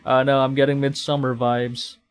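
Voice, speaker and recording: A man's voice: medium pitch, little pitch movement, moderate pace, clean tone. A man from the Philippines streaming a horror game, reacting with nervous swearing and stammered restarts. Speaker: VTuber streamer. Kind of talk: casual game-stream commentary.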